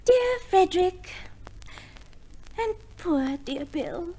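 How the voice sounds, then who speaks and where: A flighty young society wife, pitched high and very animated. She is vain and genteel, gushing in an affected way, in the manner of old radio comedy. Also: drawn-out words, a little hiss or hum, full character voice, rough recording. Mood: gushing, self-satisfied. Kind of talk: 1950s radio comedy acting.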